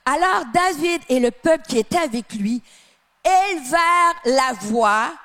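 High-voiced Québécois woman preaching. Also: animated. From Canada. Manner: emphatic, drawn-out phrases with sudden drops to a soft tone. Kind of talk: sermon. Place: church with live and online audience.

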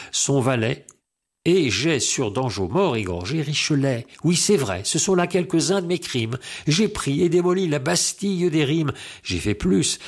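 A man reciting classical French poetry in a declamatory, emphatic manner with deliberate phrasing. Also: fairly high pitch.

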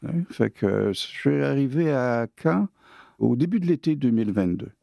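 A man in his late sixties from Quebec, with a Québécois French accent, telling his own life story casually with many pauses and fillers. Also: mid-range voice, lively intonation, vocal fry, mellow. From Quebec, Canada. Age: 60s. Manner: hesitant, many fillers and restarts. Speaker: interviewee.

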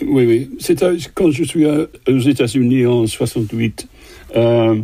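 Older man, husky, halting French. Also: medium pitch, dark tone, no room sound. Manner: halting, many fillers and restarts. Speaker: composer guest. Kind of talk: interview answers in a second language.

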